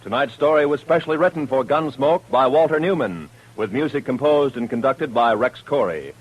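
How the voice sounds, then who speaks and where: A man's voice: medium pitch, moderate pace, husky, dull audio. A man reading scripted credits as a 1950s radio announcer. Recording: old 1950s radio recording.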